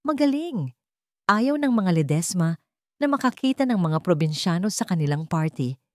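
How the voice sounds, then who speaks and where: A woman's voice: lowish voice, sing-song, normal speed, dry recording, clean studio sound. A woman with a Filipino accent voicing a snobbish, scheming rich woman with haughty disdain, in a story. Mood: gloating disdain.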